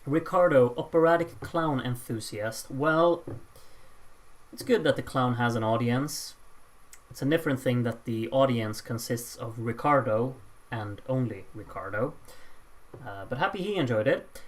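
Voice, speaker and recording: Someone hosting a live points vote with casual commentary, announcing results with drawn-out pauses and slipping in dry, offhand asides. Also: medium pitch. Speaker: host announcing song contest voting results.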